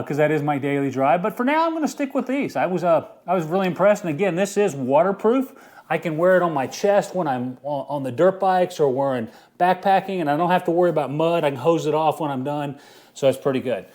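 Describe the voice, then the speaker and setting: A man's voice: a little high, a little mellow. A middle-aged man hosting a casual outdoor gear review in an informal, enthusiastic way. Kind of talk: casual product review vlog.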